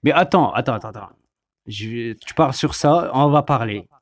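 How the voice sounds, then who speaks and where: A man's voice: mid-range voice, animated, moderate pace. A man co-hosting a casual chat, talking hesitantly with many fillers as he lists his points. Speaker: co-host.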